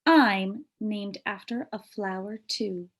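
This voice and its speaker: A woman voicing a dreamy, kindly female music teacher in a storybook, with deliberate theatrical phrasing. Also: medium pitch. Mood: kindly, proud.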